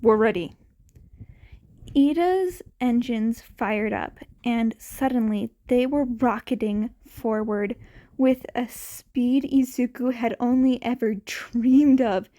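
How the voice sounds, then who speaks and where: Slow-paced, higher-voiced woman narrating a story. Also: mellow. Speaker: podfic narrator. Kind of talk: scripted fan fiction narration, read aloud.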